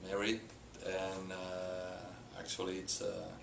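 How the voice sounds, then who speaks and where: A middle-aged man from Spain with a Spanish accent, a company president answering interview questions haltingly with many filler sounds and restarts. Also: deep voice, completely flat delivery, vocal fry. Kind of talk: hesitant unscripted interview answers. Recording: echoey room with background hiss.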